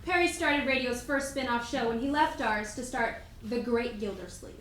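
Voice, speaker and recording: A woman's voice: a little high, moderate pace, a bit bright, close to an everyday voice. A woman playing a cheerful radio comedienne in a scripted stage monologue, looking back on her career like a storyteller. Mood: cheerful, nostalgic. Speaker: presenter in a dramatic reading. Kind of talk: first-person scripted historical monologue. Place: indoor room at a live event. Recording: echoey room with background noise.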